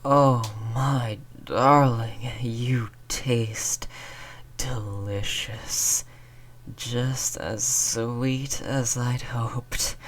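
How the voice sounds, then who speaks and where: Slow, menacing centuries-old vampire, acted out. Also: mid-range voice, a little hiss or hum. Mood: gloating, seductive. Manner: slow, deliberate, often dropping to breathy whispers; condescending, teasing endearments. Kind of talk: roleplay audio drama, intimate monologue.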